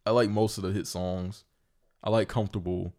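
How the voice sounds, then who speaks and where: A man with a lowish voice talks through his opinions in a casual conversation. He builds his points step by step, measured and explanatory. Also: fairly even intonation, a little mellow. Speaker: podcast co-host. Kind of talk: casual music debate.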